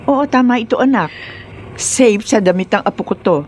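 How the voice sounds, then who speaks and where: A woman's voice: a little high, moderate pace, a little mellow, breathy edge. An actress with a Filipino accent playing a caring but bossy, practical grandmother in a radio drama. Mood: chatty, cheerful.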